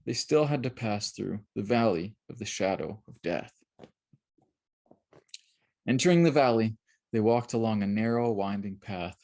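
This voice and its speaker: Animated storyteller with a creaky edge. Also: medium pitch. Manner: dramatic, expressive storyteller. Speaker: author reading their children's book aloud. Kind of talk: scripted storybook narration.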